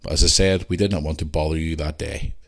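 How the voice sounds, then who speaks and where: A man's voice: extremely low pitch, steady tone, fast talker, creaky. A man with a Northern Irish accent hosting a podcast, chatting casually and informally as he goes through listener messages. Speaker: podcast host answering listener questions. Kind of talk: casual chat and reading out messages.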